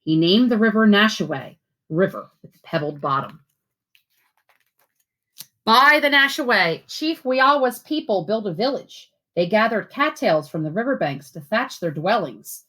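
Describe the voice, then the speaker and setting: Lady teacher doing a read-aloud for kids, medium pitch, expressive and clearly phrased. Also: unhurried. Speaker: teacher reading a picture book aloud. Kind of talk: storybook read-aloud for children.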